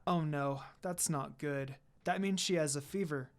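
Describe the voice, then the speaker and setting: A reader voicing a kind, cheerful young woman with a gentle, earnest manner. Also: mid-range voice, a little slow, pitched below the performer's own voice, natural voice. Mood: worried.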